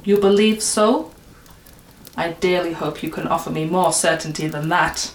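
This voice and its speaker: Woman acting stern noblewoman, clipped commanding delivery, low voice, echoing room, fantasy audio story. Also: moderate pace, natural voice. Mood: stern, probing. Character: stern, imperious middle-aged noblewoman with clipped speech. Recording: roomy echo, faint noise.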